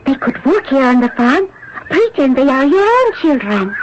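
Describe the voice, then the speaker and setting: A woman's voice: high-pitched, big pitch swings, normal speed. An actress playing an earnest, hesitant sixteen-year-old French country girl in a radio drama. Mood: earnest, pleading. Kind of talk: radio mystery drama acting. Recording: muffled, noisy old radio recording.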